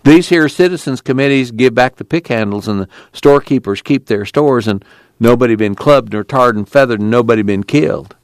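A man's voice: mid-range voice, a little fast, dry recording, pitched above the performer's own voice, close to an everyday voice. A man voicing a weathered, bearded migrant who spins yarns in a folksy drawl. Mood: storytelling. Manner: folksy yarn-spinning drawl.

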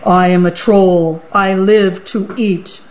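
A woman's voice: mid-range voice, fairly even intonation, slow, a little mellow, slight background noise, close to an everyday voice. A woman voicing a hungry, boastful male troll who gloats in sing-song rhymes, on a dull lo-fi recording. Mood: menacing.

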